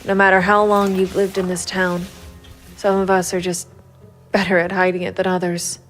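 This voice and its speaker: An actress playing a hard-boiled, brooding and quietly determined female private investigator in a gritty noir audio drama. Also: lowish voice, flat delivery, moderate pace, soft background music, close to an everyday voice. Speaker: voice actor narrating and playing the lead of an audio drama. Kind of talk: gritty noir audio drama acting and first-person narration.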